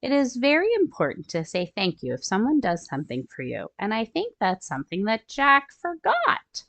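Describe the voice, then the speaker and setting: Animated woman reading to children. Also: a little high, moderate pace, gravelly. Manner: expressive, sing-song, chatting to young listeners. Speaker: children's storytime host. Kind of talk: animated picture-book read-aloud for young children.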